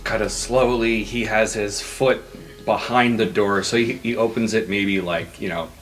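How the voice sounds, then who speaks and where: A deep-voiced man takes part in a tabletop roleplaying game. He improvises casually, both describing and speaking for his character, with clear music in the background. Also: steady tone, unhurried, fairly bright tone.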